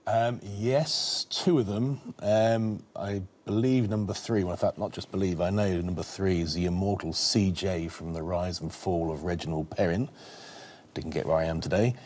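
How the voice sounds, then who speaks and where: A man's voice: deep voice, fairly even intonation, normal speed, darkish tone. A man competing on a television quiz, talking clues through hesitantly and at length with his team. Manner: hesitant, musing, wordy. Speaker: quiz contestant. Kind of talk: conferring with teammates. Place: television studio.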